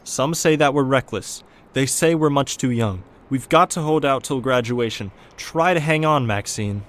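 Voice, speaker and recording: A man's voice: medium pitch, moderate pace, fairly bright tone, natural voice. Man acting a suave late-night jazz DJ. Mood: wistful. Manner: smooth-talking, unruffled, florid. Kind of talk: amateur radio drama acting.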